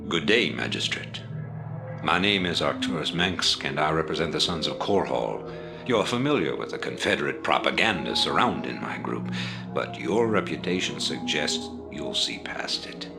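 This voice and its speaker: A rebel leader with an extremely deep, calm voice and a smooth, persuasive delivery. He sounds measured and sure of himself. Loud game music plays behind him. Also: exaggerated voice. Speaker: rebel leader speaking over a comm link.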